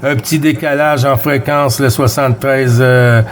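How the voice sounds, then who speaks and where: A man with a Quebec French accent chats casually as a CB radio operator, speaking in short phrases with drawn-out hesitations. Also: mid-range voice, steady tone. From Quebec, Canada. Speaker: CB radio operator running a round-table contact. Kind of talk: casual amateur radio chat.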